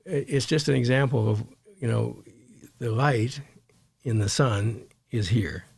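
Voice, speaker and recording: Male interview guest, strongly fry-laden voice, dry close sound, halting conversational delivery with repeated words. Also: mid-range voice, a little mellow, no room sound. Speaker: guest, meditation teacher.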